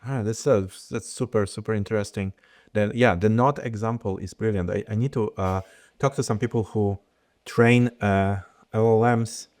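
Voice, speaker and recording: A man's voice: on the low side, steady tone, moderate pace, a little mellow. A man whose first language is Polish, hosting a podcast and asking curious questions with hesitant fillers. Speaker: podcast host. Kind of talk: podcast interview.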